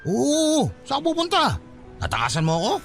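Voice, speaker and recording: A man's voice: extremely high pitch, huge pitch swings, a little fast, gravelly, a bit bright, soft background music, heavily acted. A man with a Filipino accent playing a stubborn, insistent young expectant father in a radio-style drama, pressing and confrontational.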